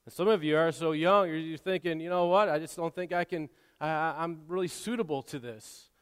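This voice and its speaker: A man preaching a sermon, swinging between quiet asides and loud, impassioned exhortation, often repeating and restarting words. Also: on the high side, steady tone, brisk, smooth. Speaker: pastor. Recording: long hall reverb.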